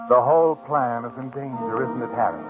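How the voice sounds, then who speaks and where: A man's voice: mid-range voice, a little fast, lightly acted. A man playing a sinister, all-knowing narrator in 1940s radio suspense, insinuating and dramatic, taunting the culprit. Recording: narrow-band old radio recording. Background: dramatic music.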